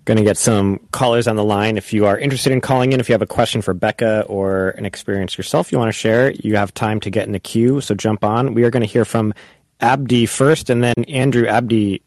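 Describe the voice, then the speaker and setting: A man hosting a call-in podcast, brisk and affirming as he brings callers on. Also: fairly low pitch, fairly even intonation, a little mellow, no room sound. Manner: upbeat, affirming, brisk when introducing callers. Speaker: podcast host.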